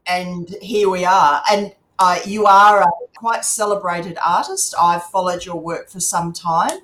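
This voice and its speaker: A woman's voice: on the low side, a little slow, fairly bright tone. A woman hosting a casual interview, encouraging and attentive with her guest. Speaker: interviewer, host. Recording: echoey, roomy sound.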